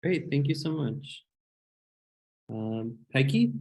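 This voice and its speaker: A man's voice: mid-range voice, big pitch swings, takes it slowly, creaky, darkish tone, husky. A man hosting an online seminar, calling on participants in turn, hesitant and apologetic with many fillers. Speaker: seminar host.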